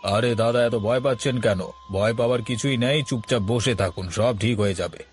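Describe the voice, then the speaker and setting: A man's voice: mid-range voice, fairly quick, close to an everyday voice. A man voicing a reassuring, slightly exasperated driver in a cartoon story, talking in a familiar, soothing way. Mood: reassuring, mildly exasperated. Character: calm, reassuring male driver, mildly exasperated. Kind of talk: Bengali moral-story cartoon dubbing. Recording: background music under the voice.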